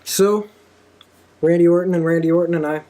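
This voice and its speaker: A man's voice: on the high side, steady tone, brisk, warm tone. A man hosting a casual panel chat, giving wrestling predictions. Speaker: host of a wrestling predictions show.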